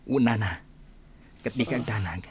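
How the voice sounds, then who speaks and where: A man's voice: fairly low pitch, moderate pace, close to an everyday voice. A man playing a kind, composed doctor in an Ilocano radio drama, speaking reassuringly. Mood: gentle, reassuring. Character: kind, composed male village hospital doctor. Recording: background noise, ambient sound.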